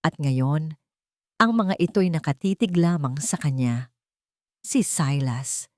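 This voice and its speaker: A Filipino woman tells a scripted love story as a narrator. Her voice sits on the low side, and she phrases things dramatically, in measured pieces with pauses. The sound is dry and close, with no room on it. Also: animated, no echo.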